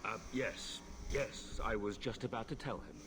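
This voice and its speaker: A man plays a solemn, grieving knight officer from a video game. His voice sits very low and carries a grave, measured weight, with a steady hiss of noise under it. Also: close to an everyday voice. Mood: stern.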